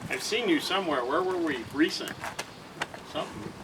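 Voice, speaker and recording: A man's voice: a little high, normal speed, background noise. A man emceeing an outdoor memorial ceremony, handing out certificates with chatty, joking asides. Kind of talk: casual informal announcing. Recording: distant mic with crowd around.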